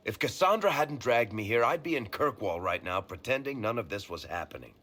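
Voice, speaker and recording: A man's voice: mid-range voice, lively intonation, a little fast, a bit bright. A man playing a wry, world-weary dwarf storyteller who talks in a colloquial, teasing way in a video game. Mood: frustrated. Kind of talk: video game cutscene acting.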